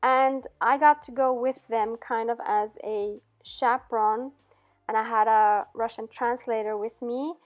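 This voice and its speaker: A middle-aged woman raised in Austria, answering viewer questions in a relaxed vlog with many "um"s and little laughs. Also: on the high side, measured pace. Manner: chatty, frequent "um" and soft laughs. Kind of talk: casual Q&A chat.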